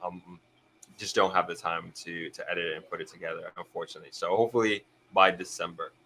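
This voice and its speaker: A man's voice: low-pitched, fairly even intonation, fast talker, sharp tone, slight background noise, rough recording. A young man born in Jamaica, hosting a live stream and chatting casually about viewer comments, often restarting his sentences as he thinks aloud.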